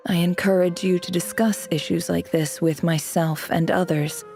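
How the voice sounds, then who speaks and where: A woman's voice: lowish voice, fairly even intonation, moderate pace, gravelly, a little mellow, faint music behind. A woman playing a disillusioned young academy student in a video game, talking in a wry, musing way. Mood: reading aloud. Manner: musing aloud, wry, reading documents out. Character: disillusioned young female academy student from the desert, cynical but curious. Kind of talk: video game dialogue acting.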